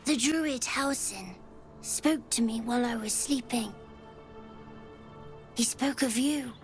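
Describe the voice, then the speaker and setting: A woman's voice: fairly high pitch, takes it slowly, faint music behind. A woman voicing a lonely, wistful child spirit, solemn and stubborn, in a fantasy video game. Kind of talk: video game character dialogue.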